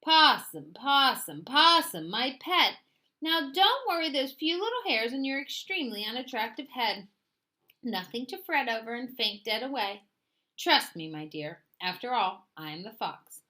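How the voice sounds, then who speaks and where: A woman's voice: fairly high pitch, sing-song, unhurried, pushed and bright, voice pushed up, heavily acted. A woman voicing a sly, vain, bossy male fox in a storybook, with a pompous, condescending, showy manner. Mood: dismissive, smug. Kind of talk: animated storybook read-aloud.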